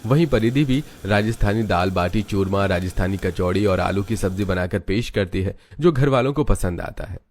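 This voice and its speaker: A man giving scripted narration for an animated family story. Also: deep voice, moderate pace, few pauses.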